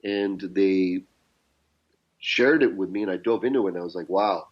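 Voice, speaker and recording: A man's voice: deep voice, moderate pace, dull audio. A man with a Canadian accent, a guest telling his life story in an earnest, reflective way. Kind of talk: podcast interview.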